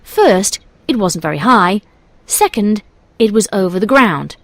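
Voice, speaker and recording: A woman's voice: mid-range voice, animated, measured pace, fairly bright tone, dry recording. A woman reading scripted story narration as the narrator of a tale.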